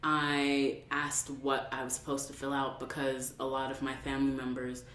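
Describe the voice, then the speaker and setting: Very low-voiced young woman, flat delivery. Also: moderate pace. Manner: earnest, telling a story from memory. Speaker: interviewee. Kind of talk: casual interview storytelling. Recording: roomy with faint hiss.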